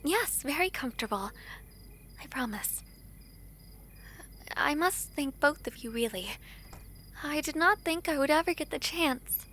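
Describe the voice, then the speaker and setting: A gentle, affectionate princess played by a woman in a fantasy audio drama. Her voice is high, and she speaks with courtly grace and a wistful tenderness. Faint noise with night insects sits behind her. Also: lively intonation, measured pace, long pauses, full character voice. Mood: delighted, grateful. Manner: formal, courtly, tender phrasing. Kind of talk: romantic fantasy audio roleplay acting.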